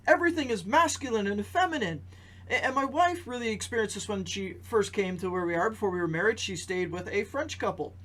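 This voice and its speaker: A man with a notably high-pitched voice hosts a commentary podcast. He works through news in a conversational way, putting questions to the listener and repeating key phrases for emphasis. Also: fairly quick, a bit bright, poor audio. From Canada. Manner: rhetorical questions and emphatic repetition. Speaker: podcast host.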